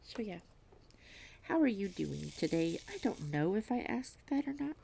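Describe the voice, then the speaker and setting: A woman vlogging at home while diamond painting, reading aloud and chatting in a self-deprecating way, with a slightly stuffy nose and frequent soft whispers. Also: mid-range voice, very animated, moderate pace, gravelly, a little hiss or hum. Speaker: crafting vlogger.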